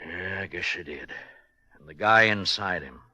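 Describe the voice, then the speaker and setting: Deep, forceful hard-boiled investigator. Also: male voice, normal speed, no echo, full character voice. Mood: dry, probing. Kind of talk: 1950s radio drama acting.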